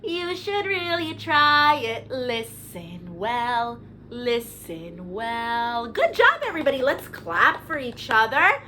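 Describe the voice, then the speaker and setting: A woman hosting story time for young children, animated and sing-song, talking to them with questions and encouragement. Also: on the high side, takes it slowly, noisy background. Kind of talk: children's storytime read-aloud with singing and asides.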